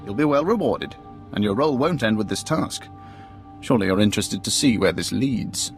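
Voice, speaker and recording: An actor playing a proud, businesslike imperial general briefing someone in a video game, in a formal, measured manner. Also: male voice, lowish voice, animated, faint music behind. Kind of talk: video game cutscene acting.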